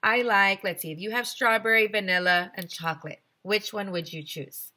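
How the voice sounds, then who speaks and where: A woman's voice: mid-range voice, moderate pace, pushed and bright. A woman teacher reading a children's story aloud expressively, with chatty asides to young listeners.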